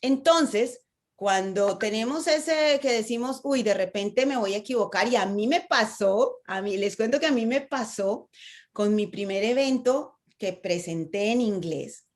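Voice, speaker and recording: Latin American woman, slightly high voice, engaging speaking coach. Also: animated, measured pace. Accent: Latin American Spanish. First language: Spanish. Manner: engaging, asks rhetorical questions, frequent "¿no?". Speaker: speaker on stage fright. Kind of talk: conversational talk with a guest.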